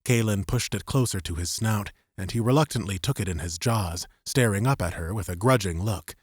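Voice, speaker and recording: A man narrating scripted fiction as an audiobook, with measured, suspenseful storytelling. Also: fairly low pitch, slightly rough, dry recording, studio quality.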